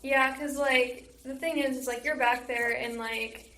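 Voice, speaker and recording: High, even-toned teenage girl in echoey room. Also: measured pace, faint music behind. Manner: heavy use of "like". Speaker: student stage manager. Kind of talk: casual interview answers. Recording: roomy echo, faint background noise.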